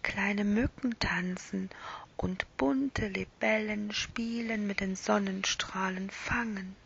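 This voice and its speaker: A woman voicing a gentle little talking toy lamb in a soft whisper. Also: medium pitch, fairly even intonation, slow, gravelly, a little hiss or hum. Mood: soothing. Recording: dull lo-fi recording.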